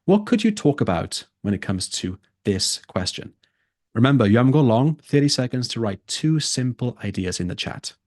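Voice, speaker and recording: A man's voice: medium pitch, big pitch swings, moderate pace, darkish tone, no room sound, clean studio sound. A man who tutors for a test, teaching a live online lesson and repeating his key points for emphasis.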